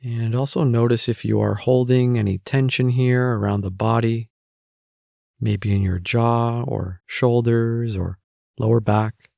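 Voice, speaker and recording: A man guiding a meditation with calm, measured, gentle spoken instructions. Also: medium pitch, creaky, dry recording, studio quality. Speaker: meditation guide.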